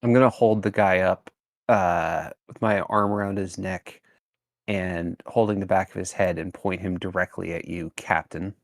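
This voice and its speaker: A man's voice: sits low, steady tone, normal speed, dry recording, studio quality. A man speaking casually as a player in a tabletop roleplaying session. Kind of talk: casual game chat.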